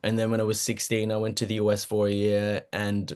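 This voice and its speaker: A man's voice: on the low side, monotone, brisk, a little mellow. Chatty German-native man, laughing a lot. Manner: rambling, self-deprecating, laughs often, many restarts. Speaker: guest voice actor. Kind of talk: casual interview chat.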